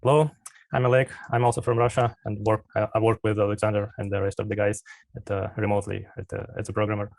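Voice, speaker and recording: Gravelly flat-voiced man on a call. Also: fairly low pitch, fast, dry recording. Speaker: programmer, class participant. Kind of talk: short self-introduction. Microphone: video call.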